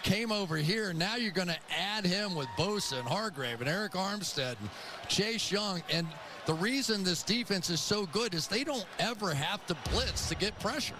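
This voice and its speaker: A man with a slightly high voice gives football color commentary in a conversational, storytelling style. Clear stadium noise runs behind him in the broadcast audio. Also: lively intonation, normal speed. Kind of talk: conversational football analysis and anecdotes. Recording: noisy game broadcast audio.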